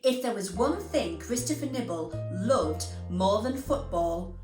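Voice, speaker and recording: A woman presenting and reading stories to young children, speaking expressively and deliberately, addressing them directly. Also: medium pitch, animated. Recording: roomy echo, music underneath.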